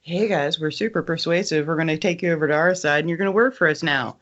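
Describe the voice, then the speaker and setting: A woman's voice: deep voice, quick pace, fairly bright tone, highs cut off. A woman talking casually about books as a podcast panelist. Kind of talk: casual book discussion.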